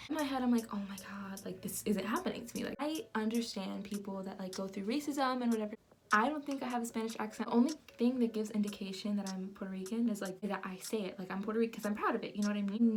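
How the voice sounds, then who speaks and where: A young woman from the United States, telling a personal story in an animated vlog style with lots of fillers. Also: a little high. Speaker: vlogger.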